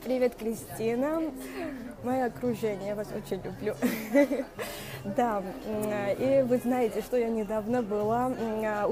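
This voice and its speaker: A young woman coach hosting a live stream, speaking in a halting way with many fillers and a noticeable non-native accent, greeting viewers by name. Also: a little high. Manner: speaks Russian as a second language with a noticeable accent. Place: small room indoors. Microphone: wired earphone mic. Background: music playing.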